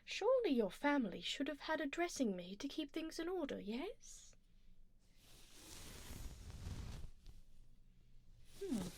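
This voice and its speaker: Woman playing a high-voiced, haughty vampire duchess. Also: slight background noise. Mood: haughty, cool. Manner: formal, aristocratic phrasing, soft and breathy with drops into whispers. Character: haughty, doting female vampire duchess, refined and coolly menacing. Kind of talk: ASMR roleplay, close personal attention. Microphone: close binaural ASMR microphone.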